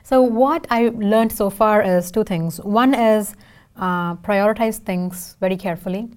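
A woman's voice: medium pitch, unhurried, darkish tone, clean tone. A woman in her thirties from India with an Indian accent and Tamil as her first language, answering interview questions in a chatty, emphatic way, often checking in with "right?". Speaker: interview guest.

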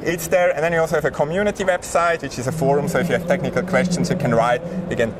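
A man from Switzerland with a Swiss accent, explaining a hardware product in a casual tech interview, halting and full of fillers. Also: a little high, fairly even intonation, over a noisy crowd. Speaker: hardware company representative. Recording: noisy background.

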